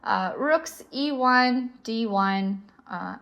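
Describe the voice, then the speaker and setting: A woman's voice: fairly high pitch, extremely slow, vocal fry, fairly bright tone. A young woman with a South African accent, patiently explaining chess positions as an instructor. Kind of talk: explaining chess moves on a board.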